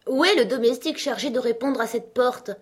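A woman voicing an inquisitive, easily impatient young girl in a storybook, with proper, expressive phrasing. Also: mid-range voice, moderate pace, breathy edge. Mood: impatient, irritated.

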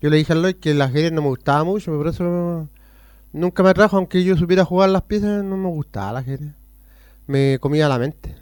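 Chilean guy, rambling storyteller, smooth dry close voice. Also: on the high side, brisk, a little mellow, dry recording. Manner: rambling, jumps between topics with repeats. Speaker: interviewee on hospital radio.

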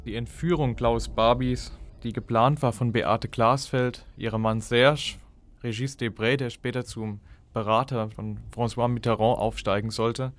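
A man's voice: mid-range voice, steady tone, a little slow, faint music behind. A man giving analytical expert commentary for a documentary, building long explanatory clauses.

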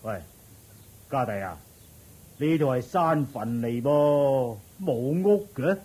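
A man's voice: medium pitch, expressive, slow pace, dark tone, slightly rough. An actor with a Hong Kong Cantonese accent playing a bossy, mocking male servant in a radio drama. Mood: doubtful, grumbling. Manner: mocking, hustling, exclamatory.

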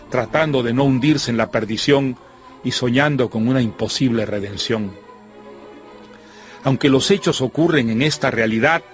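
A man presenting a radio novel with scripted literary narration in a formal, measured, erudite way. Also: mid-range voice. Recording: music behind the voice.

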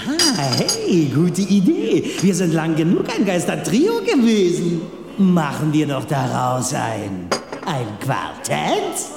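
An actor in a German radio play voicing a sly, sardonic ghost who wisecracks gleefully. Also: male voice, fairly high pitch, wildly swooping pitch, slow pace, vocal fry, full character voice. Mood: gleeful plotting. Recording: echoing hall reverb with music.

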